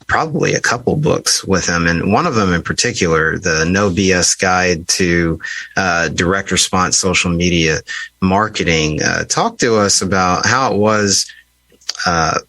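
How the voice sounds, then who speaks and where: Casting: male podcast host, low register, conversational and measured, very dry room. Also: pushed and bright, no echo, low-quality recording. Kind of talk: conversational interview questions.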